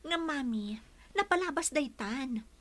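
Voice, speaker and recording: A woman's voice: sits high, expressive, unhurried, a bit bright. An actress playing a defiant young daughter in love who challenges her mother in an Ilocano audio drama.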